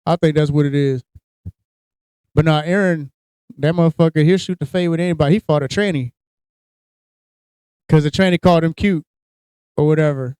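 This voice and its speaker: A man's voice: on the high side, moderate pace, long pauses, clean tone, dry recording. A man co-hosting a podcast, telling crude, joking stories in a casual chat. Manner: crude, joking, animated storytelling. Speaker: podcast co-host.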